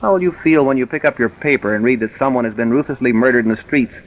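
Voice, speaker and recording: An actor playing a stern, insistent police commissioner with deliberate authority, in old-time radio drama style. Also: male voice, medium pitch, lightly acted. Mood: insistent, pressing. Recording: dull, hissy vintage radio recording.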